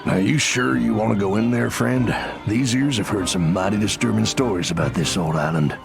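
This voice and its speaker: A man's voice: on the low side, moderate pace, vocal fry, over music. A man voicing a sly, folksy smuggler robot in a cartoon game, wheedling like an oily salesman. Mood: folksy caution.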